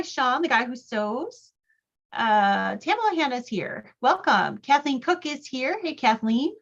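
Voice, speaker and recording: A woman's voice: high voice, a bit bright, muffled recording. A woman hosting a casual quilting livestream, upbeat and greeting viewers one after another by name.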